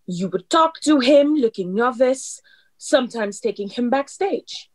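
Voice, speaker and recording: Actress as clever nightclub lady in a radio mystery, highish voice, deliberate and dramatic. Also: lively intonation. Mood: triumphant explaining. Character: poised, clever woman club performer aiding police. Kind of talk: radio play acting.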